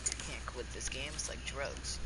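A young man streaming a game, chatting casually and joking loudly with a friend. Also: medium pitch, normal speed. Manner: loud, jokey, swings between shouting and mumbling. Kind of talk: casual gaming banter. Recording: noisy game audio behind.

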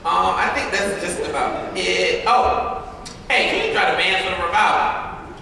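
A man's voice: sits high, a little slow, vocal fry, bright, forceful tone, exaggerated voice. A man acting a church staffer handing out chores, in a live stage play. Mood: businesslike. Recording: echoey theater stage, distant camera, noisy.

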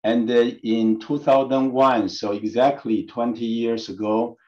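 A man's voice: medium pitch, flat delivery, measured pace, a little mellow. A man in his late fifties who grew up in Taiwan gives a personal talk over slides as a guest speaker on a video call, stumbling through many restarts and fillers. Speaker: guest speaker, engineering professor. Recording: dull lo-fi video call audio.

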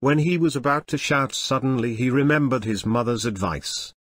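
A man's voice: medium pitch, normal speed, fairly bright tone, no echo, studio quality. A man narrating a children's story from a script. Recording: music under the voice. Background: background music.

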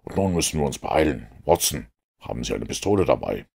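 Very deep, assured detective, read by a man. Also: very animated, a little fast, gravelly, darkish tone, husky, full character voice. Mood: brisk, commanding. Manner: assured, precise, expository. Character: brisk, self-assured male detective, commanding and analytical.

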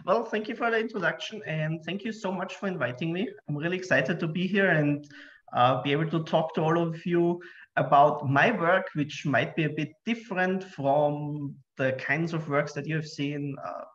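A man's voice: a little high, moderate pace, a bit bright. A man in his thirties, originally from Austria, giving a friendly, self-deprecating conference talk on a video call, with frequent fillers. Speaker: computer science researcher giving a talk. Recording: muffled video call audio.

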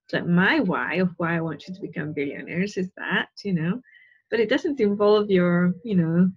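A middle-aged woman with a slightly husky voice, speaking through an earphone mic on a dull, lo-fi call line. She talks in an earnest, meandering way from her living room, often checking in with her listeners. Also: fairly low pitch, moderate pace. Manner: earnest, rambling, with frequent "right?" and "you know". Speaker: spiritual teacher. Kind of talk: casual talk on a video call. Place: living room at home, indoors. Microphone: wired earphone mic on a video call.

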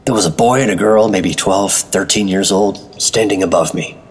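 An actor playing a hard-boiled special agent narrating a retro radio noir in a husky voice. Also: male voice, deep voice, normal speed. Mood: grim, brooding. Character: hard-boiled male special agent recounting his ordeal.

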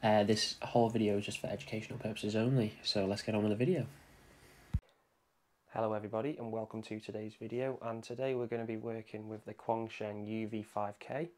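A man's voice: lowish voice, level tone, brisk, a little mellow. A man presenting a casual hands-on tech tutorial, explaining things in an informal, conversational way. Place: indoor room at a home desk.

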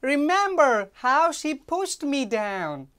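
Teacher lady doing a squeaky loud maid, all fussy and complaining, slow. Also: sing-song, full character voice. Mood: indignant. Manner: high-pitched, loud and indignant. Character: quarrelsome palace maid, shrill and complaining.

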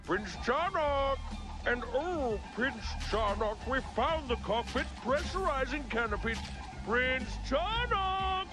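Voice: high voice, animated, slow pace, over music, poor audio. An actor voicing a fretful, fussy little alien servant in a cartoon, speaking in an anxious, precise way. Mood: urgent. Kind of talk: cartoon voice acting.

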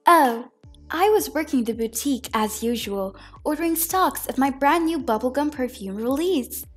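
A woman playing a dismayed, glamorous boutique owner giving a witness account in an amateur animated film. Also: high voice, moderate pace, faint music behind. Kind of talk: scripted witness interview in amateur drama.

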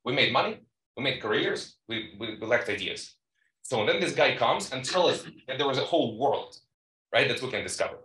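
A man presenting research at a conference panel, talking haltingly with many fillers and quick asides to the audience. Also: mid-range voice, expressive, sharp tone. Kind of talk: academic presentation. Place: conference room. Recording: echoey room, distant mic.

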